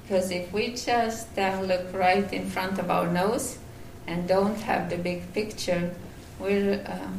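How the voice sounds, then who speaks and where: A woman from Finland with a Finnish accent, giving a devotional talk at a retreat, halting with many fillers and restarts. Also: medium pitch, fairly even intonation, vocal fry, roomy sound, distant mic, slight background noise, poor audio. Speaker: retreat speaker.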